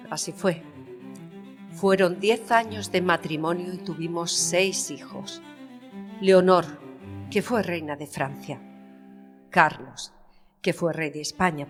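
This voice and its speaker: Woman acting proud queen, haughty and defiant, lowish voice, very echoey, faint violin music. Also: slow. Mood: proud, bitter. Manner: emphatic, haughty, theatrical. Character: proud, bitter, passionate Spanish queen, defiant and indignant. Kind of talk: dramatised historical interview, audio fiction. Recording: long reverberant hall sound.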